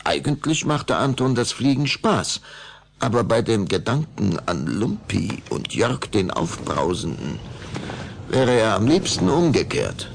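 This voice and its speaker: Ein Mann mit rauer, heiserer Stimme erzählt als Erzähler eines Kinderhörspiels eine Vampirgeschichte, dramatisch und nach Skript. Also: male voice, lowish voice, big pitch swings, a little slow, slight background noise, heavily acted. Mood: suspenseful narration. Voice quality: gravelly, husky. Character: storyteller of a children's vampire tale. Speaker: narrator. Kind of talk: scripted dramatic narration.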